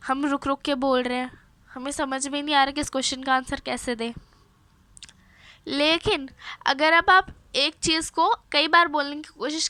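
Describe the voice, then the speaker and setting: A young woman with a cold and a hoarse voice, hosting a casual self-help video and chatting to viewers, often restarting her phrases. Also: high-pitched, pushed and bright, dry recording. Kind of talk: casual advice talk to camera.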